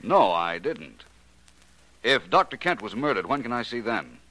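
A man's voice: mid-range voice, big pitch swings, a little slow, sharp tone, exaggerated voice, low-quality recording. A man playing an urbane, wry, inquisitive detective in 1940s radio drama style. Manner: suave, wry. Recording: vintage 1940s radio recording.

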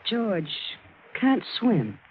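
An actress playing a cold, scheming, defiant widow in an old radio drama, curt and brazen. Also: female voice, medium pitch, big pitch swings, drawn-out words. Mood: cool, evasive. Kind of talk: 1940s-50s radio drama acting. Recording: thin, phone-like old radio with hiss.

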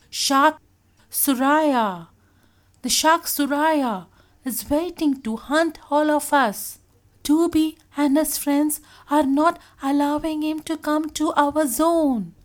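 A woman's voice: high voice, voice pushed up. A woman voicing an old, wise giant squid in a children's story, speaking earnestly and protectively as he admonishes the younger fish.

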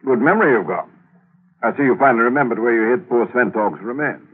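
An actor playing a sly, menacing male killer who mocks his victim in a slow, smug way, in 1940s radio acting. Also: medium pitch, expressive, slightly rough. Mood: smug menace. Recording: tinny old radio broadcast.

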